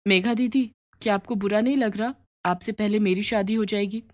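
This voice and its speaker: A woman voicing a concerned younger sister who talks in a gentle, earnest, pleading way. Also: medium pitch, fairly even intonation, normal speed, dry recording, clean studio sound, close to an everyday voice. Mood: worried, pleading.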